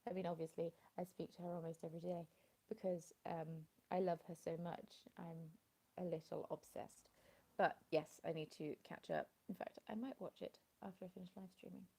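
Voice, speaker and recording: Gravelly woman chatting live. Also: fairly low pitch, steady tone, moderate pace, a little mellow. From England. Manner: rambling, chatty, greeting viewers by name. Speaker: craft live streamer. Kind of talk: casual live stream chat. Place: at home in the evening, dim light. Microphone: phone with a small plug-in mic.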